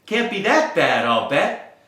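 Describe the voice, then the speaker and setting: A man's voice: on the high side, big pitch swings, measured pace, bright, forceful tone, full character voice. A man voicing a young female bulldog who is sad and eager to fit in, in an earnest, plaintive way, recorded in a very echoey room.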